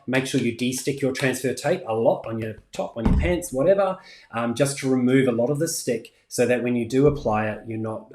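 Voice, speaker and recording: A man's voice: mid-range voice, darkish tone. A man in his forties with a New Zealand accent, hosting a casual craft livestream and chatting through viewer comments with plenty of ums. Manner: chatty, many ums, laughs easily. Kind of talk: casual live chat reading comments. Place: home craft room, indoors. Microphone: fixed camera at desk distance. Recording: echoey room.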